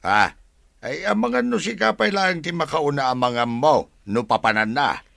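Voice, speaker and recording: A man's voice: a little high, lively intonation, moderate pace, gravelly, sharp tone, no room sound, studio quality, exaggerated voice. A man acting as an evasive, defensive husband and son in an audio drama, brushing off questions with exasperation.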